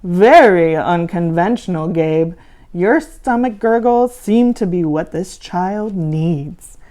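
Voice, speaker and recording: Lady doing a strict old-school monster teacher guy from a kids book, bossy n slow, very animated. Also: fairly low pitch. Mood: exacting, instructive. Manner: slow, scolding, instructive delivery. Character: stern male monster teacher, schoolmasterly and exacting.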